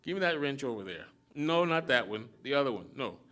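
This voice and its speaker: A gruff, scolding older mechanic, put on by a male reader. He drawls and lectures in a rough, forceful voice with a bright edge, pushed higher and louder than a plain reading. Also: big pitch swings, brisk.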